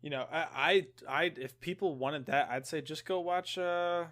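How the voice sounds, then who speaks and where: A man's voice: fairly high pitch, moderate pace, fairly bright tone. A man co-hosting a podcast, chatting casually about games in an informal, rambling way. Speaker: podcast co-host.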